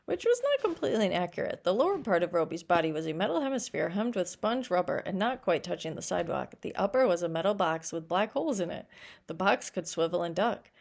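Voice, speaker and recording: A woman with a creaky, gravelly edge to her voice reads fiction aloud in the way of a teacher. She corrects her own slips and steps out of the narration to explain. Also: fairly low pitch, sing-song, fast, a little mellow. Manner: stumbles over words and corrects herself. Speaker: teacher reading a short story aloud.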